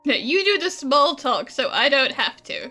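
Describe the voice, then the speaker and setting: A woman's voice: sits high, big pitch swings, moderate pace, pushed and bright, faint music behind, full character voice. A woman playing a playful, teasing young traveler, easily amused, in video game voice-over. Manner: teasing, giggly.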